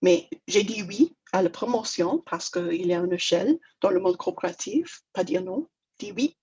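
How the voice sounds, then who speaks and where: A woman's voice: on the low side, animated, normal speed, a little mellow, slightly rough, lo-fi sound. A woman from Toronto with an English Canadian accent, speaking French as a second language in a webinar, hesitant and self-deprecating with many fillers. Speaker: negotiation trainer. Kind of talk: webinar presentation.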